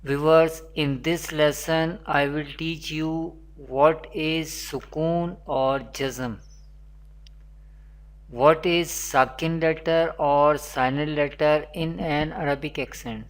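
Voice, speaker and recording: A Quran teacher, giving an online lesson in a slow, repetitive teaching manner, with Arabic recitation. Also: mid-range voice, fairly even intonation.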